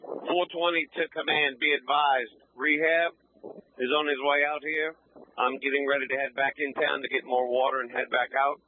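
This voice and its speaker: A man's voice: medium pitch, fairly even intonation, normal speed. A man working as an emergency officer, coordinating in brief radio messages over a two-way radio. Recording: noisy, clicking narrow-band radio.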